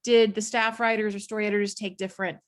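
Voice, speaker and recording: A woman's voice: medium pitch, little pitch movement, quick pace. A woman asking questions as a moderator in a casual, conversational and encouraging way. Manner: encouraging, affirming.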